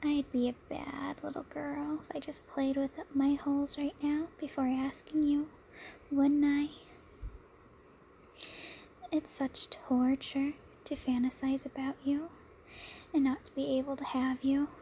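A woman with a high, soft voice speaks slowly and intimately, as in adult audio roleplay. She moves between breathy whispering and mellow, sultry murmuring. Faint but steady noise sits behind her. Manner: sultry, drawn-out, intimate delivery. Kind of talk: erotic audio roleplay, intimate monologue addressed to listener.

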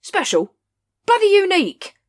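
A woman voicing a weary, exasperated male captain of the watch who snaps loudly. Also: fairly low pitch, big pitch swings, normal speed, dry recording. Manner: exasperated, snapping and loud.

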